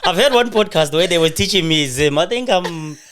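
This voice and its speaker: Lively-voiced man hosting a podcast. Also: high-pitched, fairly quick. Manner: curious, playful, laughs often. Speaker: podcast host. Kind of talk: casual interview conversation.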